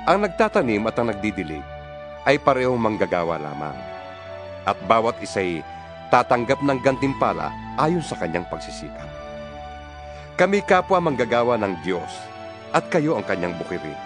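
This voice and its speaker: Man, solemn scripture reader, animated intonation, guitar playing behind. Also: normal speed, pauses often. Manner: solemn, expressive reading with wide pitch swings. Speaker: Bible reader. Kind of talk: dramatized scripture reading. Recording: reading over guitar music.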